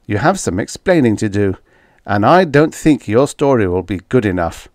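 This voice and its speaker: Man playing a blunt, sardonic diplomat with animated delivery. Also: lowish voice, normal speed, no room sound, studio quality. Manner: snapping, pressing, sardonic. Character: tough, sarcastic male diplomat, blunt and accusing. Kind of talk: audiobook character dialogue.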